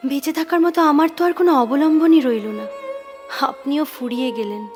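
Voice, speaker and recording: Woman as young female admirer, high voice, wounded, emotional poetic delivery, audio drama, faint music behind. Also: expressive, a little fast. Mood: sorrowful. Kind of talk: Bengali audio drama acting. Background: background music.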